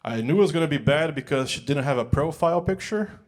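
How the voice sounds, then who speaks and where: A man's voice: fairly high pitch, lively intonation, fast talker. Man doing stand-up. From United States. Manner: conversational storytelling with filler words and acted-out quotes. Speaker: stand-up comedian. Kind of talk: stand-up comedy set. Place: large music hall on stage.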